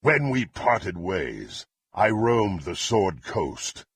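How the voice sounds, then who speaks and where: An actor playing a brutal, threatening warrior in a fantasy video game, with a raspy voice and imperious, archaic diction. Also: male voice, deep voice, sing-song, very slow, slight background noise, heavily acted. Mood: grim, brooding. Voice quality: gravelly, raspy. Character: brutal, menacing half-orc warrior serving a demonic patron.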